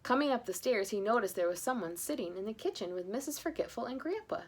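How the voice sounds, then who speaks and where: A woman's voice: a little high, fast. Woman reading a children's storybook expressively. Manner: animated, with interjected asides to listeners. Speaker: storybook reader. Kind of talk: expressive children's read-aloud narration.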